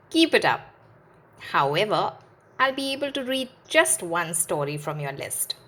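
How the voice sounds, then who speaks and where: A woman hosting a children's channel and reading a picture book aloud with an expressive storybook delivery. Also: on the low side.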